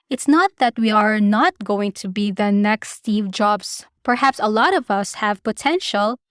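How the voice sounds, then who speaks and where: A woman's voice: a little high, no echo, studio quality. A woman hosting a podcast, giving chatty, opinionated commentary and speaking casually straight to listeners. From Philippines. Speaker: podcast host.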